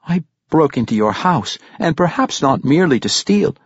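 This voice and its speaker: A sarcastic, stubborn young boy thief from a fantasy storybook, voiced by a man, pitched up from the reader's own voice. He answers tersely and grimly, in a dry, slightly dull recording. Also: big pitch swings, moderate pace, breathy edge, no echo. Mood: tentative, wary. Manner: grim, terse, defiant replies. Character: defiant, sarcastic, quick-witted young boy thief with cherubic face.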